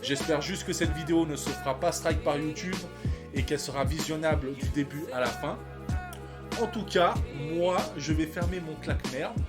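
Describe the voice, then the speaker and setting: A man's voice: on the high side, normal speed, over loud music. A man in his thirties giving casual reaction commentary, talking in slang with lots of sighs, fillers and restarts. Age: 30s. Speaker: reaction channel host.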